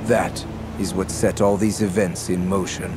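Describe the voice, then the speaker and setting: An actor playing a calm, mysterious young male villain in a game, speaking in a measured, cryptic and solemn way. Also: low-pitched, noisy background. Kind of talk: video game cutscene acting. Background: game soundtrack music.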